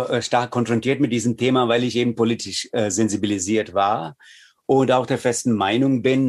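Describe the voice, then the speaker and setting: A man's voice: medium pitch, a little mellow. Indian man, thoughtful and hesitant. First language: Tamil. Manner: thoughtful, hesitant with many fillers. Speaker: yoga teacher, guest. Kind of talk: interview answers.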